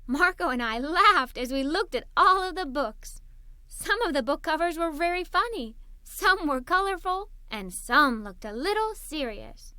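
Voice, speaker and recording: High, bright, animated woman storyteller. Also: unhurried, dry recording, clean studio sound. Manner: animated, expressive. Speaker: children's story narrator. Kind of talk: scripted read-aloud storytelling for kids.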